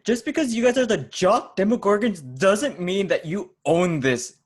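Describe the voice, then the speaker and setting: A man playing an aggrieved, bullied underdog monster in improvised podcast comedy, protesting with halting repeats. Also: sits high. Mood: indignant.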